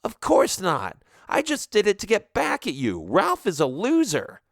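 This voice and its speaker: A man's voice: high-pitched, wildly swooping pitch, measured pace, creaky, no echo, studio quality, voice pushed up, heavily acted. A man reading a story aloud, voicing a vain, scornful teenage girl who mocks and accuses in a raised voice. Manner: mocking, accusing.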